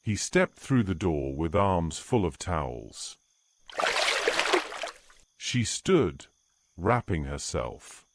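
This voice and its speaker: A man reads the narration of an audio drama, slow and measured. His voice is very deep, yet it moves lively through its range. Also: very noisy. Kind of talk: scripted narration.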